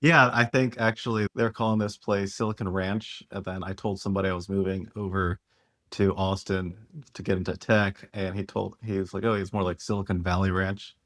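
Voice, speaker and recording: A man's voice: sits low, flat delivery, a little fast, fairly bright tone, no echo, studio quality. A man hosting a podcast, asking questions in a friendly, conversational way. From Philadelphia, United States. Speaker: podcast host. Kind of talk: casual interview chat.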